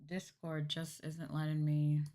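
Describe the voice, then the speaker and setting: A woman with a rather deep voice talks casually on a livestream. She takes her time, often thinking out loud in a hesitant, half-to-herself way. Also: monotone, unhurried. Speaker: VTuber livestreamer.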